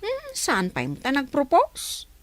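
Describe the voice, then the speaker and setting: An actress with an Ilocano Filipino accent playing an excitable, gossipy village friend in a radio drama. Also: female voice, sits high, measured pace, full character voice.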